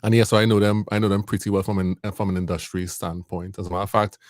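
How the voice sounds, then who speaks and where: Guy hosting a live chat, lowish voice, dry close sound, keeps repeating himself. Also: flat delivery, fast, no echo. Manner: eager, repeats words and restarts. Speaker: host. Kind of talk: casual live-stream interview. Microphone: video call.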